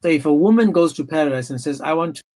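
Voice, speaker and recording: A man's voice: on the high side, animated, fast, dark tone. A man hosting a livestream debate, goading his guest with pointed questions. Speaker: debate stream host.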